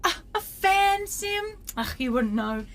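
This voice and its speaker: Casting: gushing female space captain, very high voice, wildly swooping delivery, theatrical comedy. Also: big pitch swings, heavy background noise, heavily acted. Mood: flustered, delighted. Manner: gushing, dramatic, drawn-out. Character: exuberant, theatrical female starship co-captain and scientist. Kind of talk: sci-fi comedy audio drama acting.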